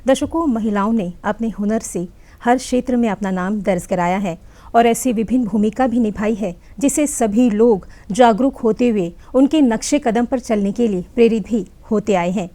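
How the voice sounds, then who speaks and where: A woman's voice: fairly high pitch, dark tone. A woman hosting a television interview, polite and formal, reading scripted introductions and questions. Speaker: TV interview host.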